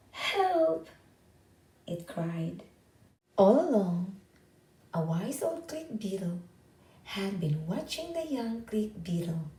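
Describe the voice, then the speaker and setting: A woman reading a children's picture book aloud in an expressive, deliberate storytelling manner. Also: mid-range voice. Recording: roomy echo, distant from microphone.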